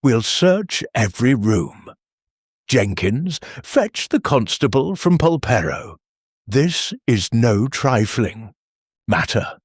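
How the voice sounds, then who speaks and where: A man voicing a calm male policeman who gives orders with authority in an audiobook mystery. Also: mid-range voice, slow pace, slightly rough, no room sound, studio quality, exaggerated voice. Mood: commanding. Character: calm, commanding male ex-policeman taking charge.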